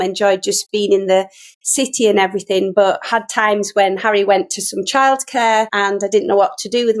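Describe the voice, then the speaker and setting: Chatty middle-aged Yorkshire woman. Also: medium pitch. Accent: Yorkshire English. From Yorkshire, England. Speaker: guest, sewing teacher. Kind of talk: casual conversational storytelling.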